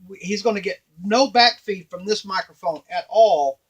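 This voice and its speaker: A middle-aged man giving an informal, halting hands-on tutorial at a workbench, explaining step by step. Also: high voice, fairly bright tone, slightly rough. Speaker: device maker and demonstrator. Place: small indoor workshop at a workbench. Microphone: camera a few feet away.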